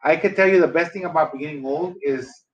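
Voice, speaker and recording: A man's voice: fairly high pitch, a little fast, a little hiss or hum. A man in his forties, a podcast co-host chatting casually in a rambling, laid-back way, swearing now and then. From Los Angeles area. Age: 40s. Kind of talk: casual chat. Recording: echoey room, dull lo-fi sound.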